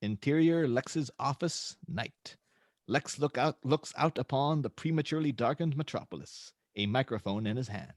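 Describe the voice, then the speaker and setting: A man narrates screenplay directions at a table read. His voice is of average pitch for a man, recorded close and dry. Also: lively intonation, moderate pace, no room sound. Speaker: narrator reading stage directions.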